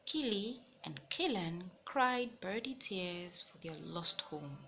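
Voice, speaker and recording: A woman's voice: fairly low pitch, animated, slow pace, sharp tone, a little hiss or hum. A woman hosting a children's read-aloud, narrating a picture book expressively for young listeners. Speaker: storytelling host.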